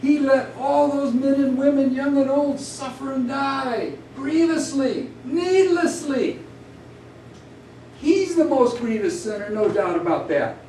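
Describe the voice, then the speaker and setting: A man performs an indignant, grieving biblical prophet who argues his case in high, declamatory tones. The delivery is accusing and rhetorical, as in a staged epic poem. It is heard from a distance in an echoing room with faint noise. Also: takes it slowly, warm tone. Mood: indignant, aggrieved. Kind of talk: dramatic epic poetry recitation. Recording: distant roomy live recording, faint noise.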